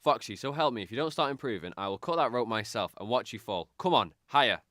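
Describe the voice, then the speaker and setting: A man's voice: mid-range voice, expressive, fast talker, fairly bright tone, dry recording, studio quality, close to an everyday voice. A man playing a cruel, threatening circus boss in an amateur radio drama, barking with contempt. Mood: angry, threatening.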